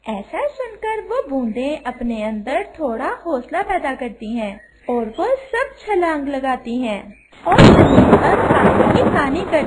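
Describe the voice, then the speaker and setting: A woman's voice: high-pitched, background noise. A woman narrator reading a scripted children's story.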